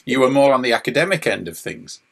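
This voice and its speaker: A man's voice: medium pitch, sing-song, fast, gravelly. A man hosting a podcast interview, asking friendly, encouraging questions with some hesitation. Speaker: podcast host. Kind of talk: casual interview chat.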